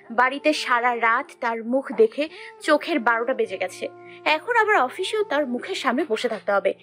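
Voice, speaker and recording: A woman's voice: high-pitched, a bit bright. A woman playing a feisty, easily flustered young wife and assistant, grumbling expressively in a girlish way in a first-person romantic audio story.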